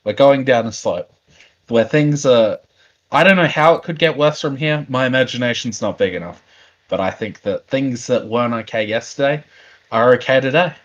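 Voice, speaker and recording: A man's voice: medium pitch, moderate pace, muffled recording. A man co-hosting a casual talk show, joking with mock outrage and restarting his sentences. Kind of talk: casual chat.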